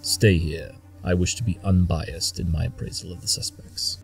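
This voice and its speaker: A man voicing a coolly arrogant, analytical pony detective, curt and measured, in an audio drama. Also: sits low, fairly even intonation, gravelly, faint music behind. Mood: commanding. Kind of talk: audio drama character acting.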